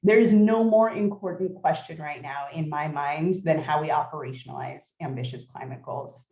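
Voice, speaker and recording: A woman from California giving remote welcome remarks as an institute president, hoarse and clearing her throat often. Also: low voice, dark tone. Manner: frequent throat clearing, measured. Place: at home, remote video link. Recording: dull, echoey remote audio.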